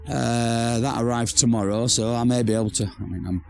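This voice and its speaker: A hoarse man who has nearly lost his voice, chatting casually to camera about his outdoor building project with rambling restarts. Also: mid-range voice, steady tone, moderate pace, darkish tone, a little hiss or hum. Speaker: vlogger building a pizza oven shelter. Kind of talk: casual to-camera DIY chat. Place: outdoor building site in a garden.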